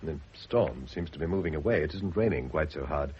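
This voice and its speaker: Deep-voiced, evasive English gentleman. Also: expressive, fairly quick. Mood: awkward, hesitant. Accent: British. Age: middle-aged. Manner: hesitant, urbane, falsely breezy. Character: evasive, self-deluding middle-aged English gentleman, newly married. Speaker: actor in a radio play. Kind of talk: 1970s radio drama acting. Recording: dull, noisy lo-fi old radio recording.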